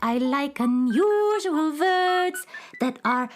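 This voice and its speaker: A woman playing a dreamy beatnik cat-girl, singing in drawn-out rhyming lines for a children's radio musical. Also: high voice, animated, faint music behind. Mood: dreamy, self-assured.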